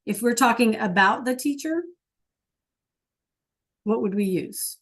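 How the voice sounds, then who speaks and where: A woman's voice: fairly high pitch, slow pace, fairly bright tone. A woman teaching an online Spanish class, patient and checking in with her students often.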